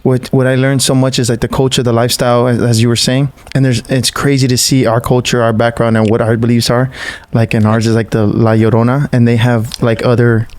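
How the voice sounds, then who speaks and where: A man's voice: medium pitch, little pitch movement, fairly quick, darkish tone. A man hosting a podcast in a casual, slangy, joking way. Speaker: podcast host. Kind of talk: casual chat.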